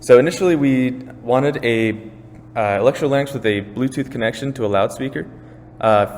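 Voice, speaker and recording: A young man giving a scripted slide presentation as a student. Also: mid-range voice. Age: young adult. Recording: reverberant room, faint background noise.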